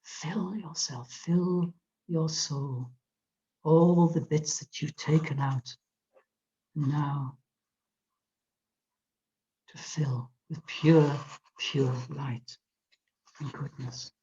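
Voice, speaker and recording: A meditation guide leading a guided visualization in a soft, often whispered voice, speaking gently with soothing repetitions. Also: medium pitch, fairly even intonation, breathy edge. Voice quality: soft, frequently whispered delivery. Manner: slow, gentle, drawn-out phrasing with soothing repetitions. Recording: dull lo-fi recording.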